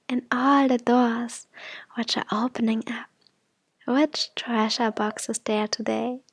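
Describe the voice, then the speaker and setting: A woman leads a guided affirmation meditation in a fairly high voice. She speaks very slowly and gently, softening often into breathy near-whispers. The sound is close and dry. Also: no room sound.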